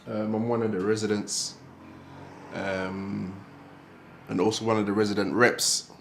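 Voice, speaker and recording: A man's voice: lowish voice, a little slow, vocal fry, slight background noise. A young man from London talking casually about his life in an interview, with a laid-back, chatty manner. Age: young adult. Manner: relaxed, casual, with frequent "do you know what I mean". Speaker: hostel resident rep.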